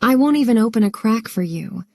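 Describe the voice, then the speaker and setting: A woman's voice: fairly high pitch, animated, fairly quick, warm tone, no room sound. A woman voicing a proud, resourceful young pig in a children's story, eager and plain-spoken. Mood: resolute.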